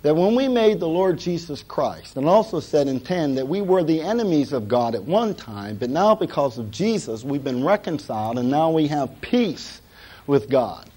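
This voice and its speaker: Hoarse preacher with a dark, mellow voice. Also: male voice, fairly high pitch, very animated, normal speed. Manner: folksy, joking asides, many "uh" fillers. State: hoarse from a cold. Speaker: pastor. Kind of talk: sermon.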